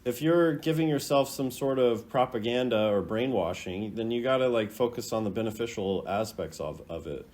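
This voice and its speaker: A man's voice: mid-range voice, moderate pace, hardly any pauses, darkish tone. A man hosting a video in casual unscripted commentary, rambling and hesitant in a laid-back way. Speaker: host ranking game voice lines.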